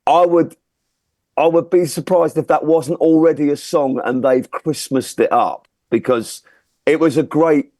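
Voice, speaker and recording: A man's voice: fairly high pitch, steady tone, unhurried, darkish tone, no echo. A man giving his opinions as a music review panellist, in informal, jokey, matey chat.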